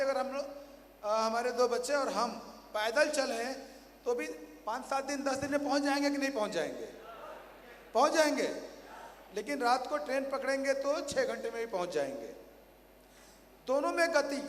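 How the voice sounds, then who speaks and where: A hoarse man, a motivational speaker at a company training event, giving a rousing stage speech to a large audience and firing questions at the crowd, repeating them louder. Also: extremely high pitch, a little hiss or hum. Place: large hall. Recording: echoey hall, faint ambience.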